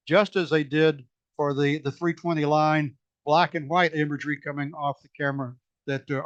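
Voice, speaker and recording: A hoarse man presents technical slides to a museum society, stumbling through fillers and restarts as he explains. His voice sits a little high, and the recording is dry and somewhat dull, with no room echo. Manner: halting, many fillers and restarts. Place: meeting room.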